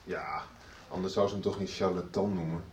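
A man's voice: low-pitched, fairly even intonation, brisk, close to an everyday voice. A man playing a laid-back, suspicious character in a radio soap, casual and wry. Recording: roomy radio recording, faint noise.

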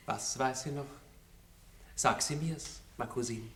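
An actor playing a lovestruck, courtly seventeen-year-old aristocrat in a radio play, speaking with rapt ardour. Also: male voice, mid-range voice, slow, fairly bright tone. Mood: teasing, courtly. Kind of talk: 1960s radio play acting. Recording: old faintly hissy radio recording.

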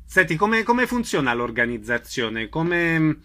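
A man's voice: fairly high pitch, sing-song, measured pace, pushed and bright. A man conducting a conversational radio interview, putting questions to his guest and summing up details.

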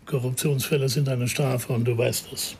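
An actor playing a blunt police veteran who insistently stands up for colleagues in a radio crime drama. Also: male voice, medium pitch, steady tone, normal speed, a little mellow, slight background noise, close to an everyday voice.

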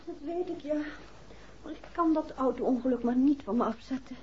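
An actress playing a worried, inquisitive detective's wife with a husky voice in a 1960s radio drama. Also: female voice, high voice, moderate pace. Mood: anxious, troubled. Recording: dull old radio recording.

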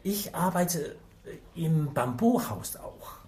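An actor playing an evasive, frightened Chinese head waiter in a radio drama, speaking terse, halting, broken German with a Chinese accent. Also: male voice, fairly high pitch, expressive, mellow. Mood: evasive, nervous.

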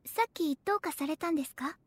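An actress playing a modest, innocent young wife, polite and hesitant, in an audio drama. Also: female voice, high-pitched, fast, slight background noise, natural voice. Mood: polite, curious. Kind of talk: Japanese audio drama acting.

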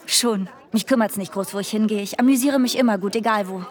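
A woman's voice: mid-range voice, fast, a little mellow, natural voice. An actress playing a carefree, chatty young female party guest in a radio play, breezy and gossipy. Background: faint music.

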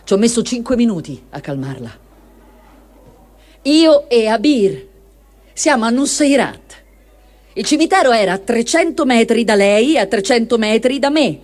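A woman reading a first-person war diary aloud as a dramatic testimony, measured and expressive, with deliberate pauses. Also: a little high. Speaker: reader of written testimony.

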